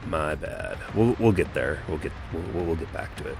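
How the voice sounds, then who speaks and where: A middle-aged man, hoarse and croaky after being sick, rambling through laid-back live gameplay commentary. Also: extremely low pitch, expressive, normal speed. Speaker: game streamer. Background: game music.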